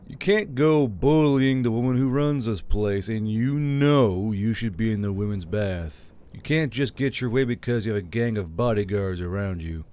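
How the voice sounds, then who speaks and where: A man's voice: on the low side, normal speed, hardly any pauses, a little mellow, natural voice. A man voicing an exasperated, grumbling military commander in an audiobook, dry and scolding. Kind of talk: audiobook character voice.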